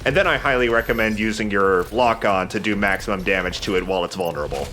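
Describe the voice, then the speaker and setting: A man's voice: fairly low pitch, quick pace, pushed and bright, slight background noise. A man hosting a let's play, explaining the game casually with playful, jokey slang. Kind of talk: casual gameplay commentary and walkthrough.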